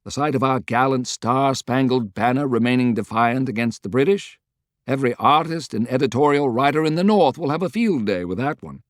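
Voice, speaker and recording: A man's voice: medium pitch, animated, a little fast, no echo, clean studio sound, pitched above the performer's own voice, lightly acted. A man voicing a loyal, good-humored adviser in an audiobook, briefing frankly and earnestly. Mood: wry enthusiasm.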